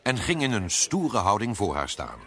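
A man's voice: fairly low pitch, wildly swooping pitch, normal speed, vocal fry, bright, forceful tone. A man telling a fairy tale as a scripted narration. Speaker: storyteller. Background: music.

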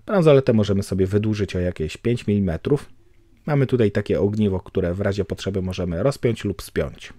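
A man giving a calm, measured voice-over for a watch review, explaining technical details. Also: fairly low pitch, no room sound, studio quality.

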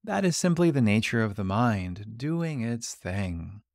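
Male meditation guide: lowish, creaky voice, calm and gentle delivery, leaving long pauses between instructions. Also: dry recording, studio quality. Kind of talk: guided body scan meditation.

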